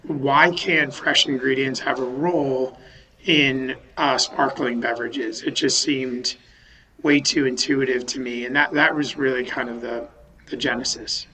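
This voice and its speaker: A man from Western Massachusetts, a company founder, talking over a video call in a thoughtful, halting way with many restarts. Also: medium pitch, steady tone, sharp tone, rough recording. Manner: thoughtful, restarts sentences, many um and you know. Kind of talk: remote podcast interview. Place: home or office in a city.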